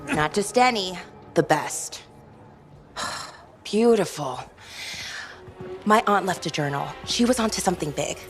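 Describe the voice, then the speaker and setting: An actress playing a feisty, impulsive young female city guard in a video game, brisk and eager. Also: fairly low pitch, expressive. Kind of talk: video game cutscene acting. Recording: faint background music.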